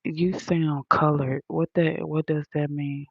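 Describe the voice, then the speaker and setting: A woman's voice: low-pitched, level tone, takes it slowly, creaky, no echo, dull audio. A woman with a Southern accent hosting a livestream, lecturing her chat in an indignant, emphatic way. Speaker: livestream host reading chat. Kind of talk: casual livestream rant.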